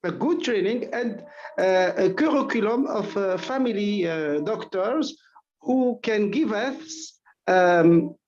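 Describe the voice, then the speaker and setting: Algerian female doctor, slow and searching for words, dull line. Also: medium pitch, darkish tone. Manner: halting, searching for words, many fillers. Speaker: family doctor, audience questioner.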